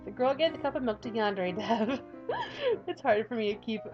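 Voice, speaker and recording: A woman's voice: fairly high pitch, big pitch swings, normal speed, dull audio, over music. A woman YouTuber reading a visual novel aloud with casual, playful, giggly commentary. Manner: playful, giggly, self-mocking.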